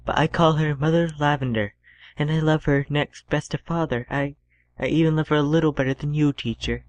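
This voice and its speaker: A woman voicing a gentle, dreamy thirteen-year-old boy who speaks softly and earnestly. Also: deep voice, steady tone, fairly quick, dry recording, highs cut off, voice pushed down, natural voice.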